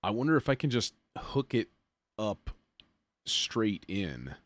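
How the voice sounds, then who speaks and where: Low-pitched man thinking aloud on a livestream. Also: sing-song, takes it slowly, no echo. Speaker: livestream host, music producer demonstrating software.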